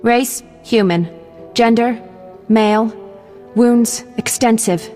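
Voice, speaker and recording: A woman's voice: a little high, slow pace, mellow, soft background music. A woman voicing a confident, professional medic in a video game, clinical and matter-of-fact. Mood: clinical, brisk. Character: brisk, confident young female medic, proud professional. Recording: long hall-like reverb.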